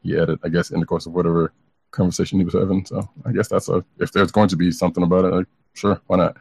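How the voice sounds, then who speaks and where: A man's voice: deep voice, flat delivery, fast, vocal fry, darkish tone, husky, no room sound. A man co-hosting a podcast, chatting casually about entertainment news in a tentative, hedging way. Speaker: podcast co-host. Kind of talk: casual comic book news chat.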